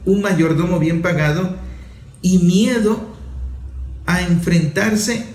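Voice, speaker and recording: A man's voice: high voice, slow pace, a little mellow, roomy sound. A man giving an emphatic opinion monologue, listing his points and asking rhetorical questions.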